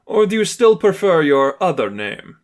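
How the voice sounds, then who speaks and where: A man acts out a male villain leader in a superhero story. He pitches the voice up, smooth and smug, giving orders with easy confidence. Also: very animated, moderate pace. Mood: smug persuasion.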